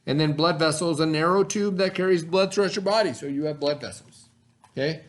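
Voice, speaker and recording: A man teaching by reading aloud to pupils, pausing to explain in casual asides and check that they follow. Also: on the high side. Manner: pauses to explain, checks in with "okay?".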